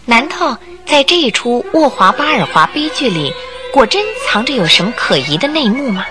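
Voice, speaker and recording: A woman narrating a radio drama as an expressive storyteller with scripted, dramatic delivery. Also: fairly high pitch, normal speed. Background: background music.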